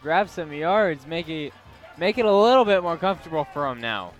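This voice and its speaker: A man with a fairly high voice adds color commentary to a live football game. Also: lively intonation, normal speed, soft background music.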